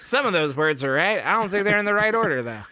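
A man's voice: high-pitched, big pitch swings, fast talker, sharp tone, no room sound. A man co-hosting a music podcast, talking loosely with lots of fillers, restarts and swearing. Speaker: podcast co-host. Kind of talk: casual music chat.

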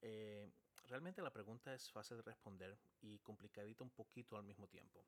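Man, lowish voice, casual podcast monologue, many fillers. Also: moderate pace. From Chile, raised in Dominican Republic. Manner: conversational, with frequent filler "eh". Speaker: podcast host, paramedic.

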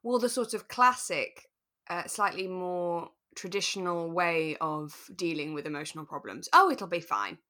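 A woman with a clearly bright, forward voice co-hosts a casual chat show. She explains her thoughts carefully and a little tentatively, in a relaxed conversational style. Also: on the low side, normal speed. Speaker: podcast co-host. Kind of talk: relaxed radio drama discussion chat.

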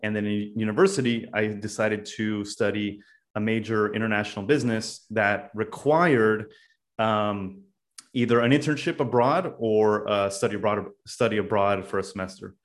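A young man from California, a podcast guest telling his story in a loose, casual way with many pauses and "uh"s. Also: fairly low pitch. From California, USA. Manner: loose, thinks aloud with many "uh"s.

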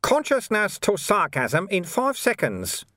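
A man's voice: sits high, wildly swooping pitch, a little slow, sharp tone, dry recording, studio quality, pitched above the performer's own voice, heavily acted. A man voicing a cheery, wily, skinny old bald monk in an audiobook, with a friendly, teasing assurance. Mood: amused, friendly.

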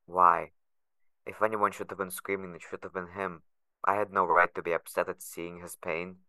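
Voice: low-pitched, flat delivery, normal speed, sharp tone, no room sound. An actor playing a sardonic, guarded young adult patient held in a facility, narrating in the first person with bitter sarcasm. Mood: bitter, subdued.

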